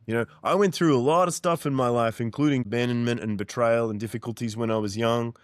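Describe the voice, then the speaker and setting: A man's voice: mid-range voice, fairly even intonation, quick pace, dry recording, clean studio sound. A man in his forties talking earnestly and directly to the listener as a mindset coach, pressing points through repetition. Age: 40s. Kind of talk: motivational monologue.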